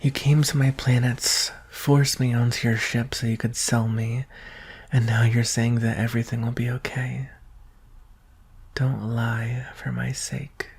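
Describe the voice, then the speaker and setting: A man playing a gentle, vulnerable young alien prince in an ASMR roleplay, speaking softly and hesitantly, often in a whisper. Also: medium pitch, level tone, heavily acted. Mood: fearful, despairing. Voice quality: soft, breathy, often whispering. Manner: hesitant, earnest, slow with long pauses. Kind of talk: ASMR roleplay, soft intimate acting.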